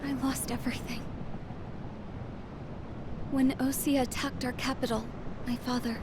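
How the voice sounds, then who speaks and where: An actress playing a grieving young princess, narrating a war game cutscene in a subdued, sombre way. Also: female voice, little pitch movement, a little slow. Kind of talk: scripted narration. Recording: clear rumbling background noise.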